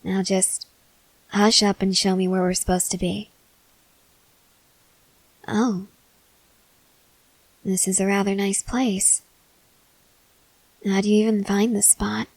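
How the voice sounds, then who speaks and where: A woman playing a stoic, confident young woman soldier in an ASMR roleplay, speaking in a measured, serious, guarded way with hesitant pauses. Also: mid-range voice, little pitch movement, no echo, low-quality recording. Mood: cool, confident. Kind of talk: ASMR roleplay, acted scene to a listener.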